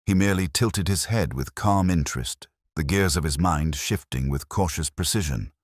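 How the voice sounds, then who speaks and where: A man reading a detective story as scripted dramatic narration, in a measured, literary way. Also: low voice, dry recording, clean studio sound.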